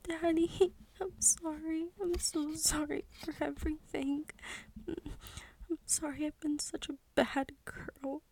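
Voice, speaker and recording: A woman's voice: high-pitched, level tone, takes it slowly, a little hiss or hum, poor audio. A young woman whispering close to the mic in a pleading, submissive tone for an intimate audio roleplay. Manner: breathy whispering, close and hushed.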